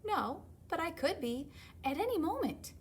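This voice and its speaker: A woman's voice: extremely high pitch, very animated, moderate pace, a little hiss or hum, voice pushed up. A woman voicing a stubborn, wishful panda in a children's picture book, with insistent, childlike pleading.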